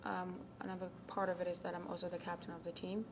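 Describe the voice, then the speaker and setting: A young woman from Ghana speaks in a low, fairly flat voice. She answers interview questions casually and softly, full of ums, and sometimes trails into a near whisper. Clear background noise sits under the recording. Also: fast talker. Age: young adult. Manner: soft-spoken, many ums, drops to near whispers. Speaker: college tennis captain. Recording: noisy background.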